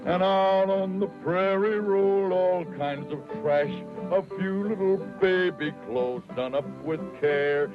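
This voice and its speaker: Slow-singing frontier balladeer. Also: male voice, sits high. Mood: wistful ballad. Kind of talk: singing a western folk ballad to guitar. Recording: dull old radio recording with guitar.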